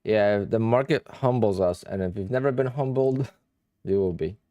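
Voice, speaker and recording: A man's voice: on the low side, normal speed, dry recording, highs cut off. A young man with a Polish-influenced accent, chatting offhand on a live stream while he trades and answers questions from viewers. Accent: Polish-influenced English. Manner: offhand, thinking aloud, answers chat questions. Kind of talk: casual livestream chat while trading.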